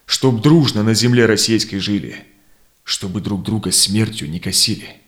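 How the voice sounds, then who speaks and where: An actor playing a steadfast, conciliatory Cossack envoy in a verse audio drama, speaking in measured, persuasive declamation. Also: male voice, lowish voice, fairly bright tone, natural voice. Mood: reasoning, persuasive. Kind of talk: theatrical verse drama acting.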